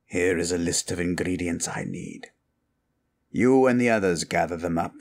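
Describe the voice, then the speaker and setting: A man voicing a grave dark sorcerer turned to good, solemn, measured and commanding, in a fantasy ASMR roleplay. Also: deep voice. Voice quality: husky.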